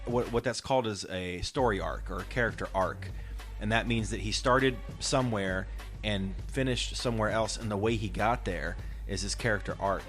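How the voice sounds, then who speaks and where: Podcast host: man with a lowish voice, casual and explanatory, encouraging his co-hosts along. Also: moderate pace, fairly bright tone, faint music behind.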